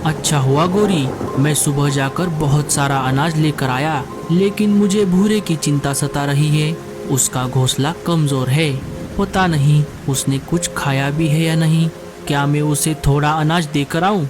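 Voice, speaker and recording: A man's voice: fairly high pitch, fairly even intonation, measured pace, over music, natural voice. A man voicing an earnest, fretful male forest bird in a cartoon, exclaiming and thinking aloud. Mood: concerned. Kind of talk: Hindi cartoon dialogue acting.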